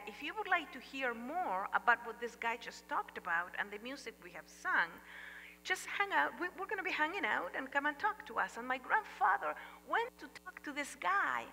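An older woman with an Argentine Spanish accent gives a lively chapel talk, telling a family story with humorous asides. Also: sits high, creaky, a little hiss or hum. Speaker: chapel speaker. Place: large church sanctuary stage. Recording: long reverb of a large hall.

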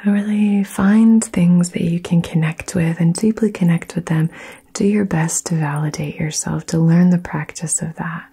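A woman whispering soothing affirmations in an ASMR session, soft and gentle. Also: lowish voice, fairly even intonation, moderate pace, slightly rough. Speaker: ASMR host.

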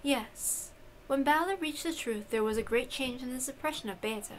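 A woman voicing an aloof, analytical female witch in a visual novel, in a measured, formal and deliberate way. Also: high-pitched, a little hiss or hum, close to an everyday voice, low-quality recording. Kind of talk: visual novel character reading.

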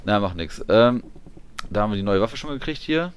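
A man's voice: on the low side, expressive, a little fast. A man giving casual gameplay commentary, muttering along as he reads menu items, with game sound behind him. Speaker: gamer commentating his playthrough. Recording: clear background noise, game ambience.

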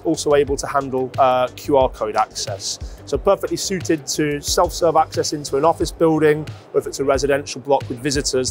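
A man in his forties explaining a product in a measured way, as a company representative interviewed on a lapel mic. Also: medium pitch. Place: busy trade show exhibition hall.

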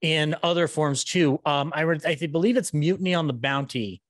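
A man in his thirties chatting casually as a podcast host, with halting phrasing, close on a microphone. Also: on the high side, no room sound. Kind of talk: casual video-call chat. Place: small room, video call. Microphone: close boom-arm podcast microphone.

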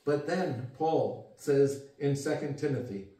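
A man's voice: a little high, animated, slow pace, recorded from a distance. Older man preaching in an echoey room. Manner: earnest, gesturing, rises for emphasis and drops quiet. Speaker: pastor. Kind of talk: sermon. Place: home living room with guitars and a TV. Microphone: far camera.

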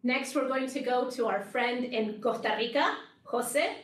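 High-voiced woman hosting, echoey room. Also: measured pace, a bit bright. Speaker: host, developer community manager. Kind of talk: upbeat hosting of a panel chat. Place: small office meeting room, indoors, on a video call. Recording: echoey room, distant microphone.

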